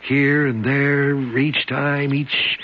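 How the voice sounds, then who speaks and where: A man's voice: mid-range voice, completely flat delivery, very slow. An actor playing an older male convict who claims centuries of escapes, earnest, dreamy and rambling. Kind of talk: 1970s radio drama acting. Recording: muffled old radio recording, faint hiss.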